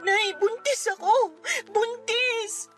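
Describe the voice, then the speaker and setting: A woman's voice: very high-pitched, slow pace, fairly bright tone, soft background music, heavily acted. A woman playing an anxious, tearful twenty-year-old daughter in a Filipino radio drama, speaking plaintively and emotionally with a Filipino accent. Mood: pleading.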